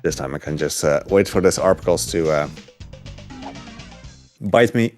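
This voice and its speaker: A man commentating on a speedrun from his couch at home, chatty and relaxed with lots of fillers. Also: deep voice, unhurried, soft background music. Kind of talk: casual gameplay commentary. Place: home living room couch.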